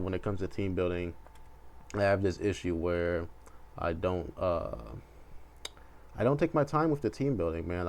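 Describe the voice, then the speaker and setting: Deep-voiced young man rambling casually. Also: a little mellow. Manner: laid-back, unscripted, hesitant, thinking aloud. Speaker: video creator and host. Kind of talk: casual rambling chat while drawing. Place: bedroom at home, indoors. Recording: home recording with faint background noise.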